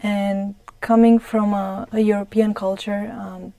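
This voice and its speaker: Young romanian woman, mellow flat voice, dry studio sound, lots of ums. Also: mid-range voice, unhurried, no room sound. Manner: careful, many ums and restarts. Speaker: studio guest. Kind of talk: studio interview.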